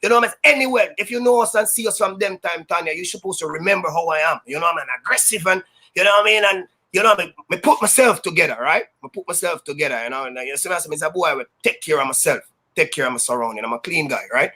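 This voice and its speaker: A Jamaican man tells his stories loudly and with a lot of energy, slipping into patois and bragging freely. His voice is high for a man and has a sharp, bright edge. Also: quick pace. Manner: loud, high-energy, boastful, patois-laced storytelling. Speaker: sound system DJ, interview guest. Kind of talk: casual storytelling interview.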